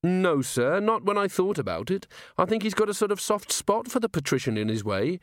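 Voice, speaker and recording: A man's voice: high-pitched, big pitch swings, fairly quick, no echo, clean studio sound, pitched above the performer's own voice. A man voicing an earnest, polite and slightly awkward young police captain in a storybook reading, deferential and innocent. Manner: deferential, innocent, literal-minded.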